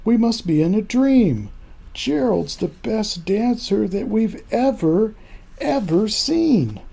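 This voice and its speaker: An older man voicing loud, excitable jungle animals with emphatic exclamations in a children's storybook. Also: sits high, takes it slowly, gravelly, breathy edge, voice pushed up, full character voice. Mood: amazed, eager.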